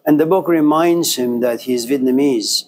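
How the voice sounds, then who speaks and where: A man's voice: medium pitch, moderate pace, a little mellow. A man of Persian background giving a classroom lecture, speaking rhetorically and driving points home through emphatic repetition. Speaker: teacher.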